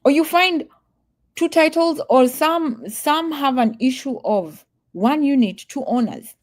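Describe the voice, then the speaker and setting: A woman's voice: high voice, takes it slowly. A woman from Kenya talking casually to her livestream viewers, earnest and emphatic, repeating her warnings and laughing easily. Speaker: vlogger.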